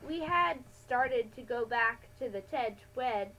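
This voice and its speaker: A woman with a high-pitched voice reads a children's adventure story aloud. Her delivery is slow and halting, with small stumbles and self-corrections as she narrates. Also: expressive, sharp tone, poor audio. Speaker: amateur story reader.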